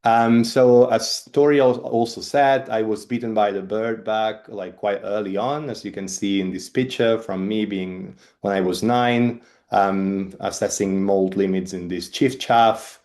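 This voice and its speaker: A man's voice: lowish voice, steady tone, unhurried, hardly any pauses, darkish tone. A man from Catalonia in his thirties with a Spanish accent, giving a webinar talk as a biologist, hesitant with many ums and restarts. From Spain, Catalonia. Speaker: guest speaker, evolutionary biologist. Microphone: video call.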